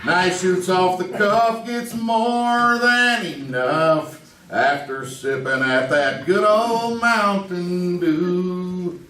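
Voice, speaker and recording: Whooping, high-pitched hillbilly pitchman. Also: sharp tone, faint music behind, full character voice. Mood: gleeful, rollicking. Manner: folksy, drawn-out, whooping delivery. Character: exuberant hillbilly soft-drink pitchman. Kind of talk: old-time radio commercial. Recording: roomy, distant, faintly noisy stage recording.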